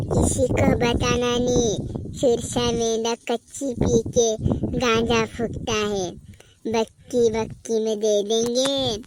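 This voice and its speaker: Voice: noisy background, natural voice. A performer voicing an emphatic, bossy little cartoon rascal. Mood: sly, conspiratorial. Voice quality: squeaky, artificially high voice. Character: squeaky, scolding cartoon buddy and comic small-time rascal. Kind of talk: amateur comedy cartoon dub.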